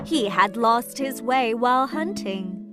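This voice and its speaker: A woman narrating a children's fairytale from a script, in clearly phrased storytelling style. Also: on the high side, lively intonation, a little slow, loud music behind the voice.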